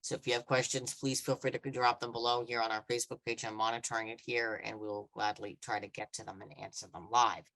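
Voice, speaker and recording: A man hosting a live video podcast, asking questions haltingly and restarting often. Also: medium pitch, flat delivery, sharp tone, no room sound, low-quality recording. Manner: halting, stumbling over questions. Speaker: podcast host. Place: indoors with virtual background.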